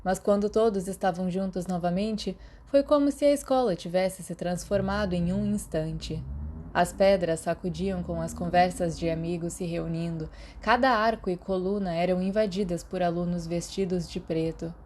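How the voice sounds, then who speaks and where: A Brazilian woman narrates a story from a script in a soft-edged, mellow voice. Her pitch sits a little low for a woman, and she reads as an audiobook narrator. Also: moderate pace, slight background noise. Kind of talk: scripted fan fiction narration.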